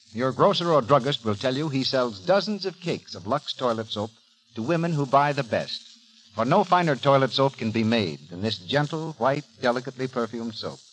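A man announcing in scripted 1930s radio style, delivering a polished sales pitch. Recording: noisy old 1930s radio recording.

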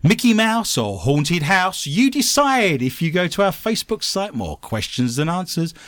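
Male co-host, bright and playful, lively swooping delivery, dry close sound. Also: sits high, normal speed, no room sound. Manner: playful, jokey, puts on silly voices and puns. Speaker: co-host of a paranormal news podcast. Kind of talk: joking casual podcast chat.

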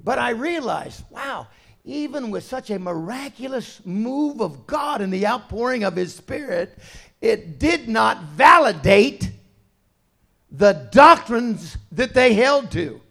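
Man, pastor, sermon, conversational preaching, soft asides, loud emphatic points, raised pitch. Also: sing-song, takes it slowly, vocal fry.

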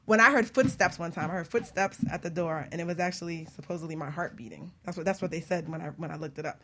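A woman hosting a talk show, telling personal stories in an informal, animated and teasing way. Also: lowish voice, fast. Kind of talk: casual personal storytelling and chat.

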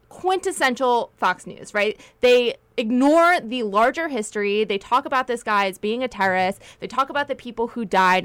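A woman panelist gives her opinions in an animated way, with frequent "um" and "right?". Her voice is clear and a little high. The recording is dry and close. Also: dry recording. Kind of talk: panel discussion.

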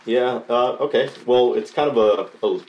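A man's voice: mid-range voice, moderate pace, highs cut off. A middle-aged man from Costa Rica answering interview questions about changing careers, hesitant with many fillers and restarts. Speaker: coding bootcamp graduate.

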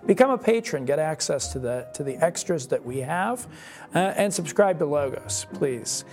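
A man's voice: a little high, expressive, normal speed, darkish tone. Man hosting a podcast, music behind. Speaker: podcast host.

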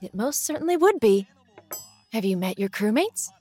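Husky, expressive mother. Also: a little high, moderate pace. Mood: teasing, fond. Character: warm, worried, older mother with dry wit. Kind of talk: audio drama acting.

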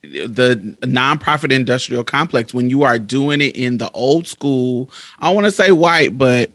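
A male host with a bright, forceful voice presses his points home, stacking phrases one after another. He sounds close and dry, with no room echo. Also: medium pitch, measured pace. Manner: emphatic, building points with repetition. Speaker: podcast host. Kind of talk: conversational interview.

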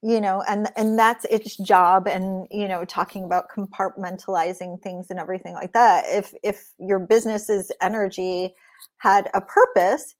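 A woman's voice: mid-range voice, flat delivery, normal speed. A woman hosting a podcast, chatting with a guest over a video call in an upbeat, affirming way. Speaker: podcast host. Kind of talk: casual interview chat.

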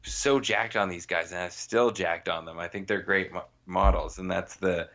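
A man co-hosting a podcast, chatting casually in a halting way with many restarts, recorded on a slightly dull, lo-fi line. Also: low voice, steady tone, vocal fry, a bit bright. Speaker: podcast co-host. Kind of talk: casual chat about a hobby.

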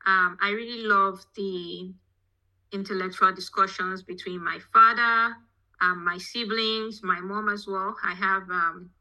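She is a Nigerian-accented woman speaking as an interview guest. Her voice is strikingly bright and forceful, with a keen edge to it. The delivery is conversational, like an academic explaining her own path. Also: mid-range voice, steady tone, measured pace, low-quality recording. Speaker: podcast guest, petroleum engineering professor. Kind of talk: conversational interview answers, telling own background.

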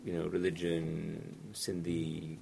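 A man in a casual academic discussion, asking halting questions with many restarts to check his understanding. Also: deep voice, completely flat delivery, gravelly, warm tone, husky. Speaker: faculty researcher.

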